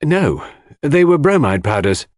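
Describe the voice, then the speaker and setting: A man plays a reserved young woman in an audiobook. The voice is lifted in pitch, polite but guarded and a little hesitant, recorded dry. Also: sing-song, a little slow, dry recording. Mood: constrained. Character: reserved, constrained young Englishwoman, politely answering questions. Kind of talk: audiobook character dialogue.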